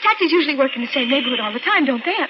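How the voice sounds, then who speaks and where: An actress playing a nervous, frightened young woman in an old radio thriller, halting and anxious in melodramatic style. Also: high-pitched, animated. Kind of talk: 1940s radio thriller acting. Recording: muffled, very noisy old radio recording.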